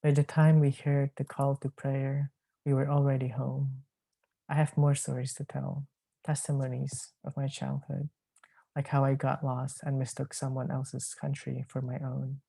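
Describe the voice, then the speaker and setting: A man reading his poetry aloud in a measured, deliberate way. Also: medium pitch, monotone, vocal fry, dark tone, husky, no echo, rough recording. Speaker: poet.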